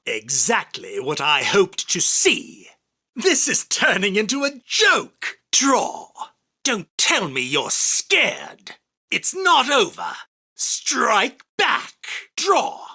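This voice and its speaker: A man voicing an arrogant, theatrical, self-proclaimed king of card duels in an anime game, with a husky, forceful, declamatory delivery. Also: high voice, huge pitch swings, drawn-out words, exaggerated voice. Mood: fierce. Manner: pompous, boastful, declamatory. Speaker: voice actor. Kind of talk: anime game character battle lines.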